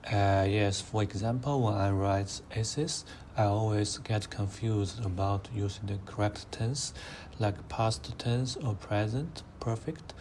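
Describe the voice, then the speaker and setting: A young man of eighteen with a Mandarin Chinese accent, his voice sitting a little low. He answers in a measured, hesitant way, pausing on fillers. Faint background noise runs under the recording. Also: a little mellow. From China. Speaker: interviewee, high school student. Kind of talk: careful answering in interview.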